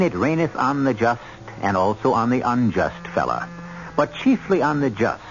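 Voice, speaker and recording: A man hosting and narrating a radio drama in a theatrical, measured, literary style. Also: lowish voice. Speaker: host and narrator. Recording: dull old radio recording, music behind. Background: music.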